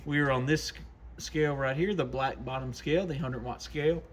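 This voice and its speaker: A man's voice: medium pitch, fairly even intonation, brisk, a little hiss or hum. A man hosting an informal, plain-spoken product demonstration for a video channel, explaining things casually as a shop owner.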